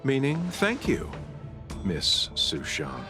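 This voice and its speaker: Suave polite merchant in a game, playful n wordy, very animated pitch. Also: male voice, slow, gravelly, over music, full character voice. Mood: suave, courteous. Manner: eloquent, courteous. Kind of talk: video game cutscene acting.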